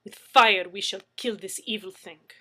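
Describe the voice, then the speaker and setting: Woman doing a blunt fierce lady, bright and forceful. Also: medium pitch, unhurried. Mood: vehement. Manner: blunt, broken English.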